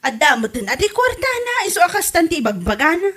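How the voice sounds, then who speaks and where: A gossipy neighbour woman played by an actress in a comedy audio drama. She is chatty and animated. Her high voice rises and falls widely. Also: brisk, heavily acted. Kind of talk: comedy radio drama acting.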